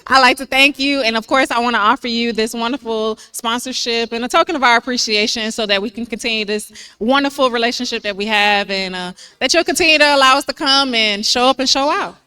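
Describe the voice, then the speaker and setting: A woman's voice: a little high, fairly quick, hardly any pauses, sharp tone, clear, resonant tone, no room sound. A woman representing an Army sponsor, giving gracious, polished thank-you remarks with a playful competitive edge.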